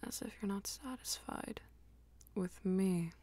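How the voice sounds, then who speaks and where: A woman voicing a jealous, dominant vampire lover in intimate ASMR roleplay, mostly in a breathy whisper with a teasing, commanding manner. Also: mid-range voice, takes it slowly, mellow. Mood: jealous, possessive. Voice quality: breathy, husky whisper with creaky edges.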